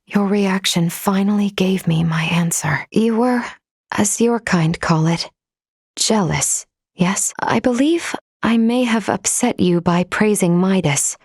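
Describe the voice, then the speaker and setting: A woman playing a polite, analytical female android companion in a video game, speaking in formal, precise, measured phrases. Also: medium pitch, a little mellow, slightly rough, dry recording, studio quality. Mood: soft, earnest. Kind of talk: scripted video game character acting.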